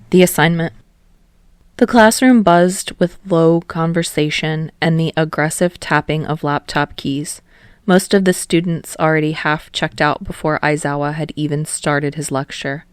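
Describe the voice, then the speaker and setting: A woman reading her own fan fiction aloud as a podfic, in plain, even storytelling. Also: deep voice, clean tone, no room sound, clean studio sound. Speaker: podfic reader and author.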